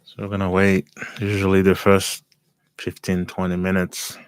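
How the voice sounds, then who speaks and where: A man with a raspy, hoarse voice, giving calm live commentary as he day trades and thinks aloud. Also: sits low, moderate pace, no room sound.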